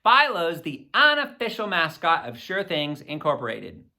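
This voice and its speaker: A man reading a children's story aloud in an expressive, boyish first-person narration. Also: on the high side, normal speed, creaky. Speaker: audiobook reader.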